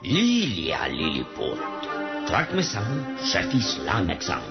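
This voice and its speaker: An actor playing a pompous, tiny monarch in a radio drama, declaiming grandly. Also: male voice, fairly low pitch, wildly swooping pitch, slow, exaggerated voice. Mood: imperious. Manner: declamatory, speaking an invented tongue. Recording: dull lo-fi sound with music behind. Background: music.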